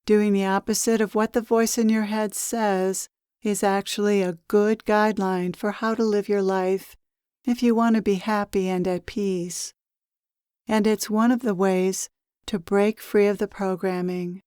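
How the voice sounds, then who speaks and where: A woman gives a guided meditation with a smooth, clear voice. She speaks very slowly, pausing between phrases as she gently instructs the listener. The sound is dry, with no room echo, and her delivery is soothing and measured. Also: medium pitch, level tone. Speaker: meditation guide, spiritual channeler. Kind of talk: guided meditation, channeled spiritual teaching.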